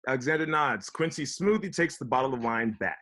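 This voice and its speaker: A man narrates the action of a script. His voice is mid-range and he reads at a brisk clip, as scripted stage directions. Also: mid-range voice, lively intonation, a bit bright. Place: recorded remotely at home on a video call.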